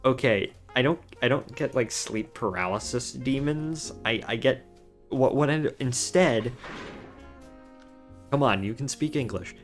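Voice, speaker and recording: A young male streamer telling a story loosely, with restarts, at an everyday middle pitch. He speaks close to a desk mic. Faint music plays under his voice. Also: a little slow. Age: young adult. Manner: rambling, with restarts. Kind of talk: casual chat while gaming.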